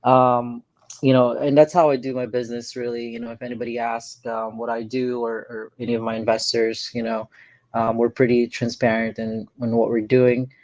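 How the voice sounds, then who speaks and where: A man from the Philippines speaks in an earnest, soft-spoken way and often pauses to gather his words. His voice is mellow and keeps a flat, even pitch. The recording is dull and dry. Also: no echo. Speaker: guest, real estate investor. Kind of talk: casual interview chat.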